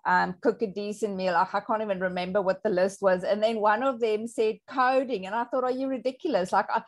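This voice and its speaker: A woman's voice: mid-range voice, fairly even intonation, a little fast. A South African woman whose native language is Afrikaans, hosting a casual interview and telling chatty personal anecdotes. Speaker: host.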